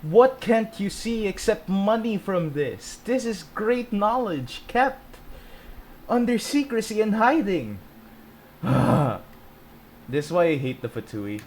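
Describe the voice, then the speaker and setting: A man's voice: high-pitched, animated, takes it slowly, a little hiss or hum. A man streaming a video game, casually commentating and talking back to the characters in a teasing way. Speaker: gaming streamer.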